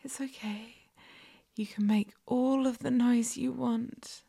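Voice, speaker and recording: Sultry, doting masseuse for adult audio roleplay, played by a woman: very husky, breathy, often whispered, drawn-out soothing delivery. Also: a little high, fairly even intonation, warm tone, heavily acted. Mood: soothing, teasing. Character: flirtatious, fawning adult masseuse doting on a client. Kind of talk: erotic audio roleplay, ASMR-like.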